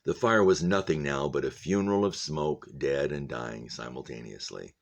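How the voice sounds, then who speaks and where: A man's voice: very deep voice, normal speed, gravelly. A man reading a story aloud in the style of a teacher, with explanatory asides. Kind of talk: read-aloud narration with commentary.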